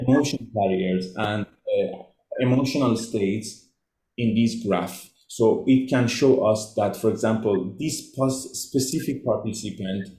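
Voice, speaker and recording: A man whose native language is Farsi, presenting his research at an online journal club and answering questions in a measured, explanatory way over a video call. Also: fairly low pitch, mellow, echoey room, low-quality recording. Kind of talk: academic journal club talk.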